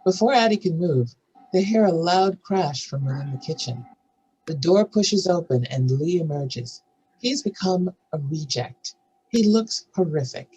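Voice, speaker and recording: A man's voice: a little high, animated, measured pace, mellow, muffled recording, slight background noise. A man narrating scripted scene directions as part of a screenplay table read. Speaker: narrator reading stage directions.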